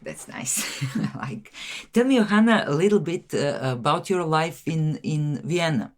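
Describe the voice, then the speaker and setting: A woman's voice: deep voice, expressive, a little slow. A woman hosting a podcast interview, speaking in a friendly, hesitant way with non-native phrasing and frequent restarts. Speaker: podcast host.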